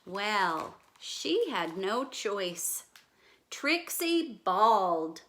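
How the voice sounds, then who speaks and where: A woman's voice: medium pitch, drawn-out words, noisy background. A woman reading a picture book aloud to young children like a teacher, expressively, with explanatory asides. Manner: careful, expressive storytelling with gentle questions to listeners.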